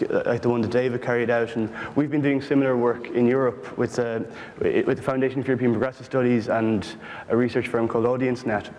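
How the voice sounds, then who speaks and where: A man from Ireland with an Irish accent, speaking earnestly but haltingly on a panel about political research. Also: medium pitch, a little mellow, in a large hall, slight background noise. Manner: earnest, halting, many restarts. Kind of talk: panel discussion.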